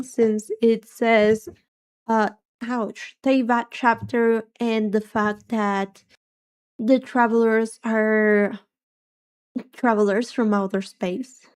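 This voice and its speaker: A soft-voiced woman livestreamer chatting casually and reacting, with a musing, hesitant, playful manner. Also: fairly high pitch. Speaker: livestreamer, vtuber.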